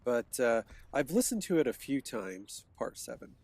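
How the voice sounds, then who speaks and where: A man's voice: medium pitch, very animated, normal speed, darkish tone, husky, dry recording. A man in his thirties with a cold, telling his own past in a hesitant personal monologue full of restarts. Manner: hesitant, many restarts and fillers. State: congested from a cold, sniffling. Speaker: vlogger recounting his past.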